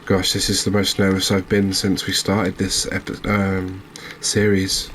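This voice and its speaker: A man's voice: deep voice, monotone, a little slow, a bit bright, slight background noise. A man hosting a cozy ASMR gaming video, commentating on his play in a rambling, musing way with mild exclamations. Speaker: gaming channel host.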